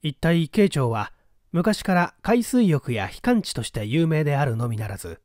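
A man reading a mystery story aloud as literary narration, in a measured, polite storytelling manner. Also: on the high side, darkish tone, dry recording, studio quality. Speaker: audiobook reader.

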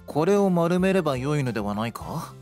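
男性が演じる 古風で落ち着いた低めの武人. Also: male voice, fairly high pitch, very animated, a little mellow, faint music behind. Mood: calm, puzzled. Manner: measured, stiff old-fashioned phrasing. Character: calm, earnest male warrior with archaic speech. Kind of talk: game radio drama acting.

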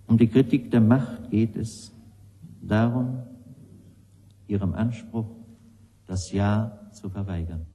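A man giving a measured prepared speech, deliberate and emphatic, in short phrases. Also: on the low side, fairly even intonation, warm tone. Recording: long hall reverb.

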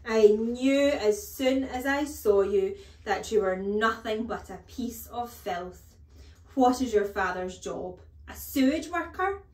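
A woman's voice: fairly high pitch, animated, slow, a little mellow, pitched above the performer's own voice. A woman voicing a huge, bullying headmistress who barks contemptuous commands and insults in a children's storybook reading. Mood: furious. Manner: barking, bellowing commands, contemptuous. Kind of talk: children's storybook read-aloud. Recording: echoey room.

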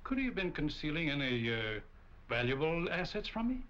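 A man's voice: a little high, very animated, fairly quick, fairly bright tone. An actor playing a well-mannered older businessman, polite and a little hesitant, in 1940s film style. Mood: shaken. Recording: muffled, hissy 1940s film soundtrack.

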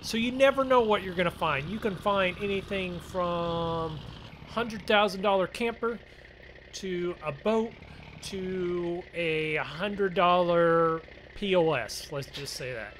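Vehicle noise runs clearly behind a man with a somewhat high-pitched voice. He hosts a farming game video as casual roleplay. His delivery is chatty and folksy, as if talking viewers through the work. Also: slow pace, fairly bright tone. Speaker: gaming video host. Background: game vehicle engine sounds.

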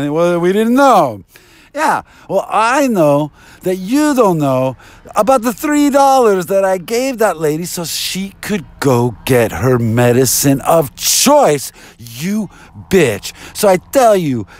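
Male, slow and theatrical, taunting delivery with big pitch swings, rambling mock phone-call monologue. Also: no room sound. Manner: theatrical, taunting, with drawn-out words and repetitions.

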